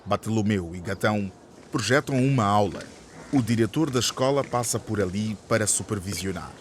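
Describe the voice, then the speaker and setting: A man's voice: fairly low pitch, moderate pace, slight background noise, natural voice. A man narrating a radio drama in a scripted, scene-setting style. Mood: descriptive. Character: male narrator of a school radio soap.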